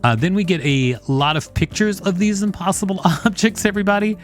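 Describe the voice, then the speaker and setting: A man's voice: sits high, expressive, a little fast, faint music behind. A man co-hosting a podcast, reading encyclopedia text aloud in a conversational way with casual asides. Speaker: podcast co-host.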